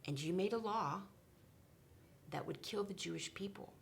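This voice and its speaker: A woman's voice: lowish voice, normal speed, gravelly, slight background noise. A woman whispering as a frightened young queen. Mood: pleading. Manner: hushed, whispering.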